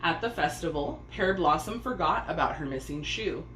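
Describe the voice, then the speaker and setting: A woman reading a picture book aloud as a story time host, expressive and speaking to young listeners. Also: sits low, moderate pace. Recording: echoey room with faint background noise.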